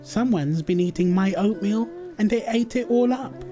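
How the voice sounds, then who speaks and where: A man voicing a small, excitable, childlike bear cub in a children's bedtime storybook. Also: high voice, moderate pace, soft background music, voice pushed up, natural voice. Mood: surprised. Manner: childlike, exclaiming.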